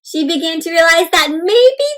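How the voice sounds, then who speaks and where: Female kids' storybook host, high-pitched, animated, interactive delivery aimed at little listeners. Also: normal speed. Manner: animated, asks listeners questions, addresses them as friends. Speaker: children's read-aloud host. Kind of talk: interactive picture book read-aloud for young children.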